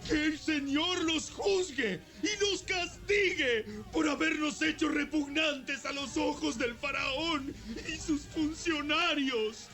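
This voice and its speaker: A man's voice: very high-pitched, slow, hardly any pauses, pushed and bright, faint music behind, full character voice. A man playing an aggrieved slave foreman in a dramatized audio Bible, plaintive and wailing. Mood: bitter, accusing. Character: anguished, aggrieved Israelite slave foreman, pleading.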